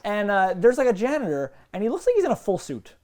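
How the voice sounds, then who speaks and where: Fast, animated co-host chatting. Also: medium pitch, soft background music. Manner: animated, often mimicking quoted lines. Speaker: co-host of a TV episode review show. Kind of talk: casual review chat.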